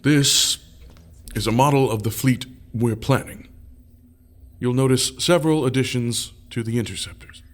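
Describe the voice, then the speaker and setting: A man's voice: mid-range voice, normal speed, natural voice. An actor playing a fleet designer who explains his plans in a plain, unrehearsed way. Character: male fleet designer presenting ship plans, measured. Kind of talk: audio drama acting.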